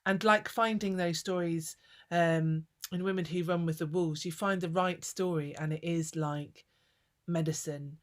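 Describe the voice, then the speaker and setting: A woman's voice: lowish voice, fairly even intonation, a little slow. A woman from England hosting a casual conversation, talking in a thoughtful, rambling way. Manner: thoughtful, rambling, with many fillers and restarts. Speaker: host.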